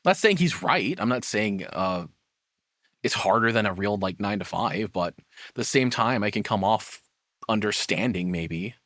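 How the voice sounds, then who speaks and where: A man's voice: lowish voice, lively intonation, moderate pace, a bit bright, no echo, studio quality. A man streaming a casual, opinionated rant, rambling and self-deprecating as he chats with viewers. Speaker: streamer and VTuber host.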